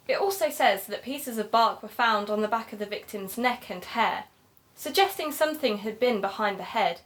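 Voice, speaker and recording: A woman playing a keen, sociable amateur investigator and podcaster in an audio drama, talking in a measured, conversational way. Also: a little high, fairly bright tone, natural voice. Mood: thoughtful.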